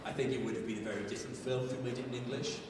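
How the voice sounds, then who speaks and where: A man's voice: mid-range voice, steady tone, racing, noisy background. A filmmaker answering audience questions at a live Q&A, thoughtful and searching for his words. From Wales. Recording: echoey hall, voice from far off.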